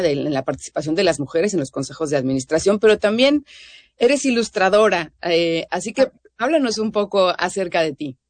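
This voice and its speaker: Low-pitched woman interviewer, dry close sound. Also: darkish tone, no room sound. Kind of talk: conversational interview.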